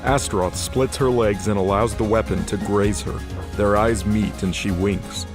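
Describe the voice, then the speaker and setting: Casting: male narrator, low voice, scripted action storytelling over prominent music. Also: steady tone, normal speed.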